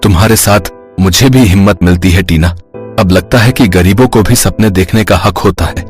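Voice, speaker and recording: A man's voice: sits low, moderate pace, faint music behind, lightly acted. A man voicing a humble young guard who speaks earnestly and hesitantly. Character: humble young security guard, worried and modest.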